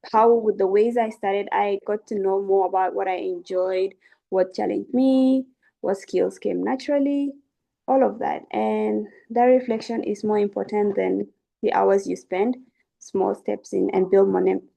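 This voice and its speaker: Young woman, mellow creaky voice. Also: mid-range voice. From South Sudan. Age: young adult. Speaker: student webinar speaker. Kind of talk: informal educational presentation.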